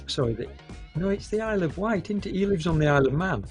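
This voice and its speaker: A man with a cold commentating a live esports cycling race, hesitant and full of fillers, with a hoarse voice and occasional coughs. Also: sits high, lively intonation, soft background music. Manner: hesitant, many fillers, unhurried.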